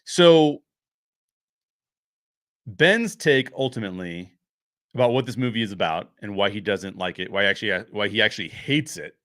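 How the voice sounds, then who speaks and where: A male host talks through a film review off the cuff, with an emphatic, explanatory way of making points. His voice sits fairly low yet has a bright, forward edge. The sound is dry, with hardly any room to it. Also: normal speed, pauses often, no room sound. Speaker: show host and commentator. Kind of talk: unscripted film review commentary.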